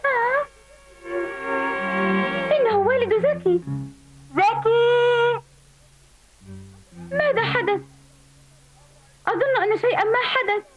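A woman's voice: very high voice, slow pace, pauses often. A woman voicing an earnest, plaintive little boy bee in a dubbed cartoon. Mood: worried. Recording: dull old TV soundtrack, loud music.

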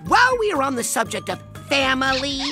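Indignant little imp employee, prim, exasperated, high male voice, jumpy pitch, adult cartoon, music behind. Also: huge pitch swings, a little fast, heavily acted. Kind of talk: adult animated comedy acting.

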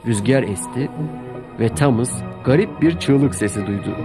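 A male narrator with a medium-pitched voice tells a children's tale. His delivery is scripted and expressive, and music plays clearly behind him. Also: normal speed.

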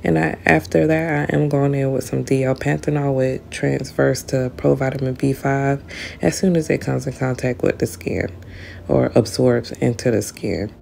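A woman's voice: extremely low pitch, steady tone, moderate pace, creaky, mellow, a little hiss or hum. A woman, narrating a skincare tutorial in a casual, explanatory voice-over, sounding stuffy as she gets over an illness. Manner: casual, explanatory, addresses viewers directly.

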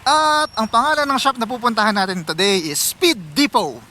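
A man's voice: high voice, animated, moderate pace, pushed and bright, a little hiss or hum. A Filipino man chatting casually to viewers as he rides, conversational and mixing in English phrases. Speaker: motorcycle vlogger. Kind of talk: casual vlog chat to viewers while riding. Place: outdoors, riding a motorcycle on city roads.

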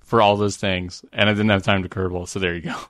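A man's voice: low-pitched, little pitch movement, quick pace, fairly bright tone, dry recording. Man telling casual, jokey stories, dry close sound. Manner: jokey, prone to chuckling. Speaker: podcast co-host. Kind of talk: casual chat, rambling anecdote.

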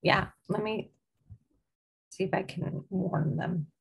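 A woman's voice: mid-range voice, takes it slowly, vocal fry, breathy edge, low-quality recording. A woman helping run an online session, chatting casually on a video call.

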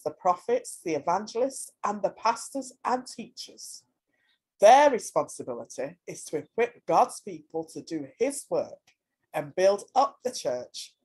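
A woman reading scripture aloud in a deliberate, declamatory way. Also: mid-range voice, a little mellow, breathy edge, low-quality recording. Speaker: scripture reader.

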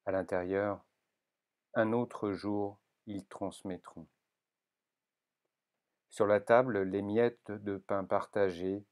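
Man, lowish pitch, very slow pace, solemn poetry reading, measured phrasing, long pauses. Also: steady tone, a little mellow. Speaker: poetry reader.

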